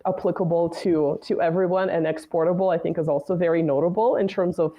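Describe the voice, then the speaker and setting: A woman's voice: on the low side, steady tone, quick pace, lo-fi sound. A woman speaking as a guest commentator in a casual political discussion, talking at length and often adding "right?" and "you know". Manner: talkative, many "right?" and "you know" tags.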